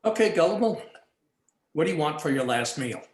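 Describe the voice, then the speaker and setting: A man's voice: on the high side, lively intonation, brisk, lightly acted. A man playing a crooked police chief in a noir radio play, with a clipped, businesslike manner. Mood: curt. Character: crooked police chief, curt and smooth-talking schemer. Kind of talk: 1940s noir radio acting, live community theatre. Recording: roomy, echoing live stage recording.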